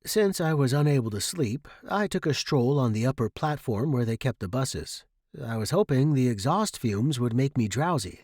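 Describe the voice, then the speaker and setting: A man's voice: mid-range voice, expressive, moderate pace, darkish tone, no echo, studio quality. A man reading a first-person short story dramatically, as its narrator.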